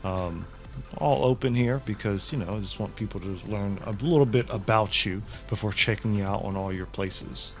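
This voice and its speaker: A man hosting a casual interview, talking in an excitable, slangy way. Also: on the low side, normal speed, soft background music. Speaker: host.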